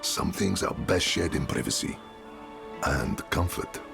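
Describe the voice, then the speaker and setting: A kindly village carpenter in a game cinematic. His voice is very low and gravelly, with swooping intonation and a thoughtful, halting delivery. Music plays behind him. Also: animated, heavily acted. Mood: genial. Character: kindly gruff male village carpenter and father. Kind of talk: video game cinematic acting.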